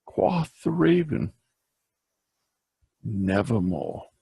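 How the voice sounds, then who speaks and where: Slow, gravelly man reciting poetry. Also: on the low side, very animated, mellow, no room sound, clean studio sound. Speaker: reader.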